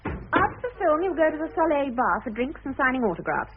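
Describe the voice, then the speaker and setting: An actress playing a businesslike, insistent secretary in a radio comedy, reeling off instructions briskly and efficiently. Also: female voice, high voice, big pitch swings. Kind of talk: radio comedy drama acting. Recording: muffled, phone-like radio drama recording.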